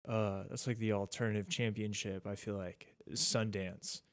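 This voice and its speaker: A man's voice: on the low side, fairly even intonation, moderate pace, no echo, studio quality. A man in his thirties hosting a podcast, chatting casually in a laid-back, rambling way that is full of "like" and "dude". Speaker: podcast host. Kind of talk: casual chat.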